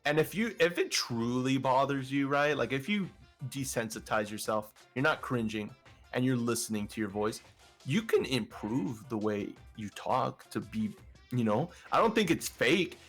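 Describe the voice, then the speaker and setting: A man with a deeper voice, guesting on an interview and talking in a casual, rambling way with many restarts. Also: animated, normal speed, a bit bright, soft background music. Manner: rambling, filler-heavy, frequent restarts. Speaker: vtuber guest.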